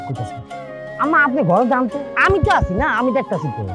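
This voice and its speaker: A breezy, protective village uncle with a Bangladeshi accent. His high voice swings about as he argues glibly in a comic family drama, with clear music underneath. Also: fast, heavily acted. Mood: playful, reassuring. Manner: argumentative, glib, animated.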